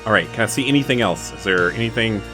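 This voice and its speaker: A man's voice: fairly low pitch, quick pace, bright, forceful tone, soft background music. A man playing a tabletop roleplaying game, chatting casually with joking asides as he says what his character does.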